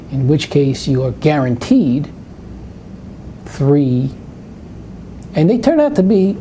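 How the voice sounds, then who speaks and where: An older man with a New York accent talks in a mellow, relaxed tone over a faint hiss. He answers webinar questions in a rambling, anecdotal way, often stopping to restart his sentences. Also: fairly high pitch, poor audio. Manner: rambling, anecdotal, self-interrupting. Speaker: math presenter. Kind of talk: live webinar Q&A.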